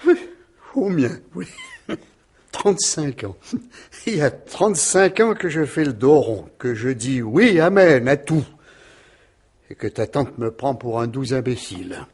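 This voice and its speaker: Husky, mild old uncle. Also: male voice, medium pitch, very animated, measured pace, long pauses, darkish tone. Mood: resolute. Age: 60s. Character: meek retired old uncle, long henpecked, quietly rebelling. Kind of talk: French radio mystery play acting.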